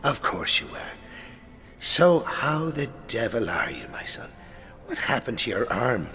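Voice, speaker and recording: Guy acting old teasing priest, very animated up and down voice, comedy radio play. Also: medium pitch, measured pace. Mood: wry. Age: older. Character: wry, exclamatory older male priest. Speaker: actor.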